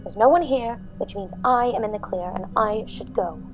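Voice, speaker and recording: A woman's voice: mid-range voice, moderate pace, muffled recording, soft background music, natural voice. An actress playing a guarded, self-interested woman with a blunt, dry manner in an audio drama. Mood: wary, musing. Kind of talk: narrative podcast acting.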